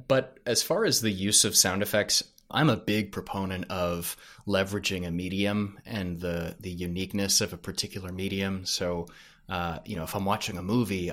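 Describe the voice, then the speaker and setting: A man giving casual explanations as a podcast guest, haltingly thinking aloud as he goes. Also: deep voice, a bit bright. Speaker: podcast creator, interview guest. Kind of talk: casual explanatory interview talk.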